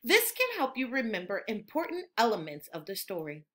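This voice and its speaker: A woman teacher reads a picture book aloud to young children. Her voice is fairly high and bright, with lively swings in pitch. She explains things expressively and instructively. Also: brisk. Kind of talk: animated children's read-aloud.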